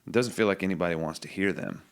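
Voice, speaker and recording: Deep-voiced man musing as a podcast guest. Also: fast, vocal fry. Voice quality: low singing voice. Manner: thoughtful, musing, loose restarts. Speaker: singer-songwriter guest. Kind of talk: reflective podcast conversation.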